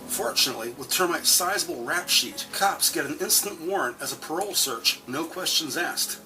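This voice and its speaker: A man with a somewhat high voice narrates a crime audio drama. He tells it dramatically and in careful detail, over clearly audible music. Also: lively intonation, moderate pace, poor audio.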